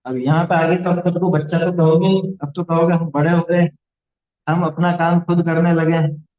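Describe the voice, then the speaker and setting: A man's voice: on the high side, flat delivery, normal speed, a little mellow, muffled recording. A man teaching a religious studies lesson to a class of children, explaining points and asking the class questions.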